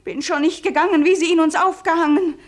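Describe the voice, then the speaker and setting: High-voiced, anxious wartime sister. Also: female voice, steady tone, fast talker. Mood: desperate. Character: anxious, impulsive, devoted younger sister in wartime. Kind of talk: theatrical verse radio drama.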